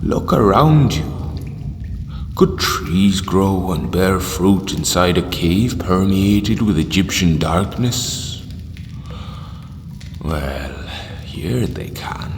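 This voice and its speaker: A man acting in an audio drama as a calm, zealous cult leader, speaking solemnly and grandly with hushed menace. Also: sits low, extremely slow, heavily acted. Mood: ominous, reverent. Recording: echoey hall with long reverb.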